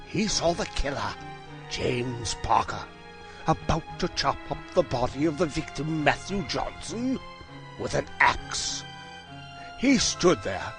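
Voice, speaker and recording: Male actor for brilliant Victorian detective, audio drama: highish, very animated, unhurried and precise, self-assured. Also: exaggerated voice. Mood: dramatic. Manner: theatrical, precise, formal, explanatory.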